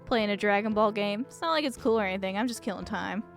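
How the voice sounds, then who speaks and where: A woman's voice: medium pitch, quick pace, vocal fry, soft background music, natural voice. A woman voicing a bored, dismissive young man minding a store in a visual novel, with a nonchalant, sullen manner. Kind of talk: visual novel character read-aloud.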